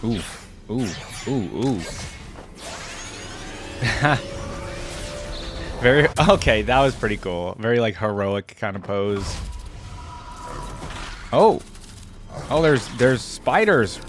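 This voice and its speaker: A man's voice: lowish voice, expressive, slow pace, long pauses. A man giving casual reaction commentary as a video host, musing and thinking aloud. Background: game music and sound effects.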